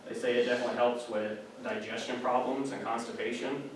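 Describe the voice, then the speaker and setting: A man's voice: medium pitch, steady tone, fairly quick. A young man giving a casual, chatty classroom presentation, full of fillers and asides. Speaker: student giving a class speech. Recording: echoey room, distant mic, background noise.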